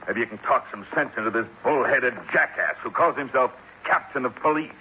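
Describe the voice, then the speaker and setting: An actor playing a hot-tempered, blunt construction engineer in a 1950s radio drama. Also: male voice, mid-range voice, brisk, narrow, tinny audio, lightly acted. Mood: angry, scornful. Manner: blunt, combative. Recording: noisy, thin 1950s radio recording.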